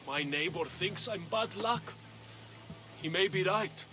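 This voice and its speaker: A man's voice: high voice, takes it slowly. An actor playing a wary, weary older man, a fearful former priest turned farmer, in a video game. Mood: wary, resigned. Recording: music under the voice. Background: background music.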